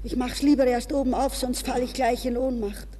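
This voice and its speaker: A woman's voice: high-pitched, level tone, brisk, mellow, big-room sound, a little hiss or hum, natural voice. An actress playing a desperate, dreamy young woman from a good Viennese family in a theatrical interior monologue for a German radio play. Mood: anxious, pleading.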